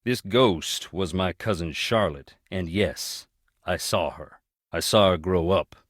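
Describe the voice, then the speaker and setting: Mystery game role: brooding Southern man, deepish voice, close dry sound, blunt folksy warnings, haunted by family tragedy. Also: takes it slowly, no echo. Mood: somber. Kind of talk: video game character dialogue.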